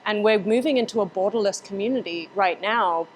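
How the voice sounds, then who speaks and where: A woman from Hong Kong on a roundtable panel, speaking in a measured, articulate way. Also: medium pitch, slight background noise, rough recording. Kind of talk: casual discussion.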